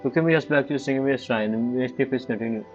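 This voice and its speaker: A male gamer comments casually over a playthrough. His mid-pitched voice mutters loose, informal reactions, a little dull in sound, with game music behind. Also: quick pace, darkish tone. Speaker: gaming streamer. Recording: muffled mic with game music.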